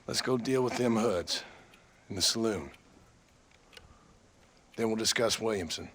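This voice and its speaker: An actor playing a terse, stubborn gunslinger in a Western video game, speaking with a blunt, dry American Western drawl. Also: male voice, fairly low pitch, measured pace, fairly bright tone, slight background noise. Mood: firm, bargaining. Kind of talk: video game dramatic acting.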